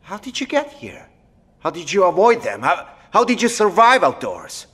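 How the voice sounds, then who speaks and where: An actor playing a nervous, wary scientist in an audio drama, speaking in hesitant, precise, educated phrases. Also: male voice, sits high, huge pitch swings, moderate pace, fairly bright tone, breathy edge, full character voice. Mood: alarmed, wary. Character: nervous blind male scientist, formal and wary, stranded alone. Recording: long echoey reverb of an empty hall.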